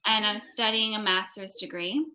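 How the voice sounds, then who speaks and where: A woman's voice: medium pitch, steady tone, moderate pace, bright, forceful tone, rough recording. A young American woman tutoring English in a casual one-on-one conversation, phrasing things simply for a learner. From United States.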